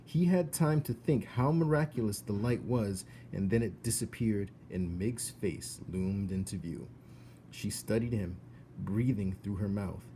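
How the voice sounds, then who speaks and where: A man reading a children's storybook aloud in an expressive narrating style. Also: fairly low pitch, unhurried, a little hiss or hum.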